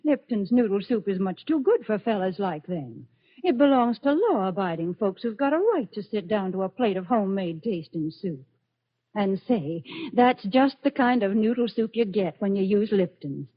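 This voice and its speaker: Husky, folksy woman radio announcer. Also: mid-range voice, expressive, moderate pace. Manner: folksy, chatty selling. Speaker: radio show sponsor announcer. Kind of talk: 1940s radio advertising banter. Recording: dull old radio recording.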